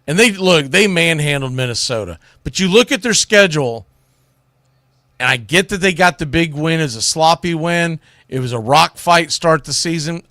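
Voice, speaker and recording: A man with a slightly high-pitched voice delivers a sports radio monologue in a dry, close recording. He is conversational and opinionated, leaning hard on key words and pausing for effect. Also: a bit bright, dry recording. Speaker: sports radio show host. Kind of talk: casual sports commentary monologue.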